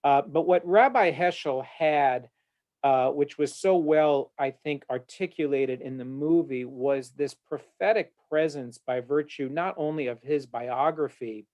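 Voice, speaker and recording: A man's voice: fairly high pitch, measured pace, a little mellow. A man speaking as a rabbi on a discussion panel, earnest and eloquent with frequent filler uhs, talking from his office over a video call. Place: home office.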